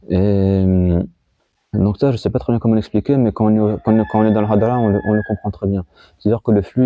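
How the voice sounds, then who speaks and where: A man giving an informal spiritual teaching talk as a disciple, speaking haltingly and often repeating his words. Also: lowish voice, warm tone, dry recording. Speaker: Sufi disciple giving guidance. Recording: dull lo-fi recording.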